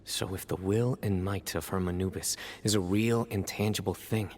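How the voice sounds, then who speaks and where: A man voicing a stern, resolute young guardian warrior in an anime game, solemn and measured. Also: low-pitched, fairly even intonation, a little mellow. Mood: reflective.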